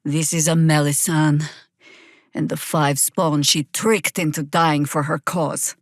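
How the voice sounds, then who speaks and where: A weary older-ish warrior druid lady for a video game, lowish voice, super slow, dry sarcastic wit. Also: fairly even intonation, no echo. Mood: wry, measured. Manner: dry, sardonic, measured. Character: seasoned, wry, world-weary female Harper warrior druid. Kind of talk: dramatic video game character dialogue.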